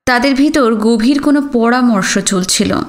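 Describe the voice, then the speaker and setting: Female storyteller, fairly high pitch, scripted literary narration, audiobook. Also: unhurried.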